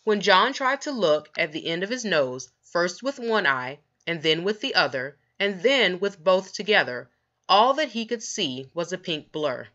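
A woman reads a children's story aloud, leaning deliberately on key words. Her voice is fairly low, bright and lively in its rise and fall. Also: lo-fi sound. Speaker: children's book narrator. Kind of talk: expressive read-aloud storytelling.

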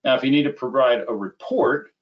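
A middle-aged man giving a conversational, step-by-step software demo as a webinar presenter. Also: medium pitch. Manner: conversational, unhurried step-by-step explaining. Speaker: webinar presenter, applications engineer. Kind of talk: live software demo, explanatory. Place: small indoor room at a computer. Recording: slightly dull, band-limited webinar audio.

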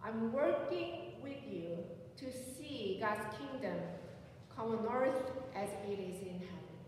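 A woman's voice: on the high side, a little hiss or hum. A woman pastor in her forties with a Korean accent, preaching an earnest personal sermon from the pulpit in a church sanctuary. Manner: slow, earnest, personal testimony. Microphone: pulpit mic, recorded by a far camera. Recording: echoey sanctuary from far off.

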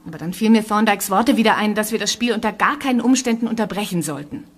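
An actress playing an earnest young acting student who explains things eagerly in a radio play. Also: female voice, medium pitch, fast, husky, natural voice.

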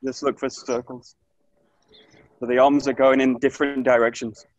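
A man in his thirties teaching an online aikido class, giving calm, short coaching cues outdoors in a grassy orchard, recorded on a camera mic as he moves nearer and farther. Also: medium pitch, normal speed, highs cut off. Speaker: aikido instructor. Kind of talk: live online class instruction. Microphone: camera mic, distance varies as he moves.

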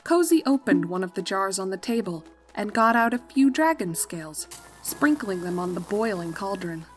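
Mid-pitched woman narrating a story. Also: lively intonation, moderate pace, faint music behind. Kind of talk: scripted storybook narration of fan fiction.

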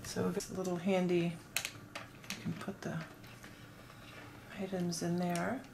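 A woman's voice: fairly low pitch, flat delivery, unhurried, creaky, background noise. A woman casually demonstrating a toy playset, explaining each piece as she handles it. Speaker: toy reviewer.

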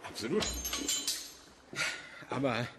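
Proud boat enthusiast amid noise. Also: male voice, mid-range voice, lively intonation, takes it slowly. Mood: musing. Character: friendly male boat enthusiast, proud and reflective. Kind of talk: radio drama dialogue.

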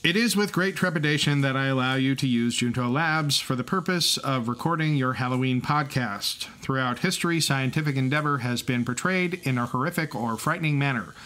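A male podcast co-host speaks at a middle pitch. His delivery is scripted but conversational, with a playful, wry touch. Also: a little fast, hardly any pauses, sharp tone, slight background noise. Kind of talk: semi-scripted banter and exposition.

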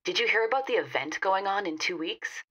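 A woman's voice: mid-range voice, lively intonation, a little fast, sharp tone, breathy edge, highs cut off. A woman playing a helpful, slightly old-fashioned informant in an audio fiction podcast, chatty and confiding.

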